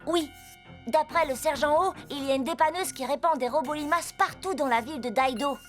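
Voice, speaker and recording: High, determined young cartoon voice by a woman. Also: a little fast, faint music behind, natural voice. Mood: concerned. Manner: pointed, questioning.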